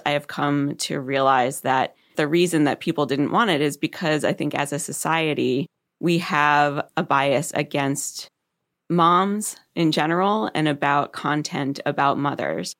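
A middle-aged woman with a deep voice, talking thoughtfully in a conversational interview and laughing easily. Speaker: podcast host, guest.

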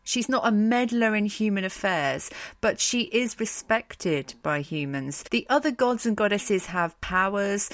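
A woman's voice: mid-range voice, dry recording, studio quality. A woman hosting a podcast, telling stories in an informal scripted style with irreverent, slangy, emphatic phrasing. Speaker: podcast host. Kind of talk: informal scripted storytelling narration.

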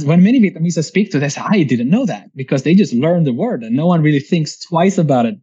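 A man from Sweden in his forties with a Swedish accent, chatting reflectively as an interview guest and often ending thoughts with a quick tag question. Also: mid-range voice, warm tone, breathy edge, highs cut off. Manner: reflective, chatty, frequent restarts and "right?" tags. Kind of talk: casual interview conversation.

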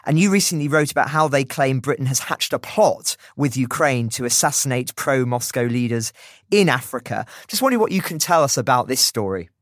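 A man's voice: medium pitch, animated, brisk, no room sound, studio quality. A man hosting a news podcast, presenting stories and putting questions to a contributor. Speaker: podcast host.

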